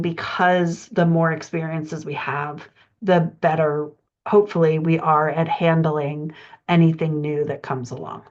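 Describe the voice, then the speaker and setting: Woman coach doing a podcast lesson, kinda low voice, chatty explaining, slightly muffled lofi audio. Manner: conversational, explanatory. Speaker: writing coach, podcast presenter. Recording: dull lo-fi audio.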